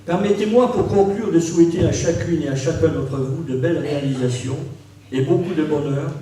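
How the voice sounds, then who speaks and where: A man's voice: a little high, warm tone. Man giving a formal speech, very echoey room. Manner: measured, formal oratory. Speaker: local politician giving an official address. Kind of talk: formal New Year speech, read from prepared text. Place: large reverberant room at a public ceremony. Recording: very echoey hall, distant mic, faint noise.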